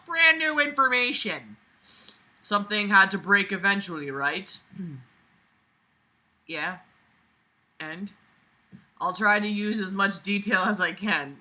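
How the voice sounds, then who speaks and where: A woman reading a story aloud and breaking in with incredulous, sweary, theatrical commentary. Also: medium pitch. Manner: animated outbursts and sarcastic asides. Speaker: host of a reading-and-reacting video.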